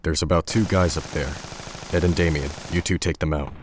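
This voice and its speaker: A man voicing Clay, a gruff young fighter who talks in a blunt, bossy, scolding way. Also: extremely low pitch, fairly even intonation, a little fast, noisy background.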